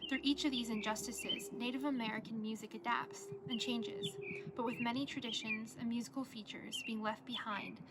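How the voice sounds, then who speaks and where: A young woman, a college student, reading her own essay aloud as a presentation in an earnest, measured way. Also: a little high, steady tone, heavy background noise. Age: young adult.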